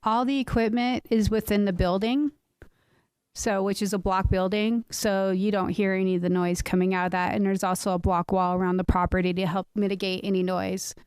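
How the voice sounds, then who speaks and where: A woman from city staff presents an item to a planning commission. Her voice is smooth and clear, and she speaks plainly. The sound is dry. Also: medium pitch, level tone, a little fast, darkish tone, no room sound. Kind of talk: staff presentation at a public meeting.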